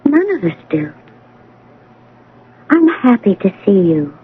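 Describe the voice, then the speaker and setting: An actress playing a gentle, beckoning female spirit in a radio drama, with a soft, tender voice. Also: medium pitch, very animated, takes it slowly, breathy edge, narrow, tinny audio, heavily acted. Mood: serene. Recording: noisy, band-limited old radio broadcast.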